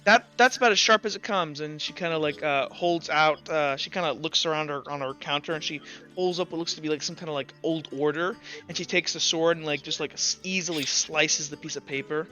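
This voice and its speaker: Male tabletop game narrator, bright and forward, halting and repetitive delivery, lo-fi sound. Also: a little high. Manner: halting, repeats words while searching for description. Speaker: game master. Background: music.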